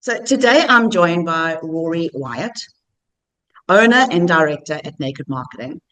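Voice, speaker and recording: A woman's voice: fairly low pitch, animated, measured pace, a bit bright, rough recording. A woman hosting a business interview podcast, introducing her guest with upbeat, affirming remarks. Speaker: podcast host.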